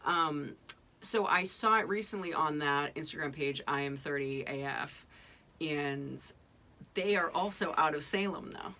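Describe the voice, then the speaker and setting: Low-pitched, bright chatty woman. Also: a little slow. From New England. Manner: chatty, laughing, lots of fillers. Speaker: podcast host. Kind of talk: casual chat.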